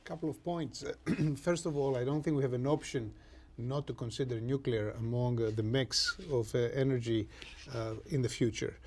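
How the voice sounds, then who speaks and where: Male expert, fifties, average pitch, speaking off the cuff in a studio panel discussion. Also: fairly even intonation, moderate pace, a little mellow.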